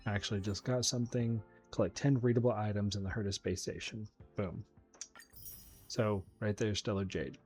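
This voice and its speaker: A man with a fairly low voice hosts a gaming video. He talks through the game in a casual commentary style, with faint music playing behind him.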